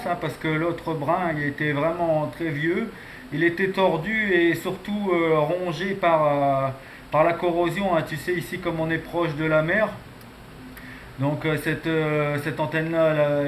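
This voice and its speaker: A man making casual radio contact, chatty and hesitant, as an amateur operator handing the microphone back and forth. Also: fairly high pitch. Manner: hesitant, chatty, tags phrases with "hein". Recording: echoey room, some background noise.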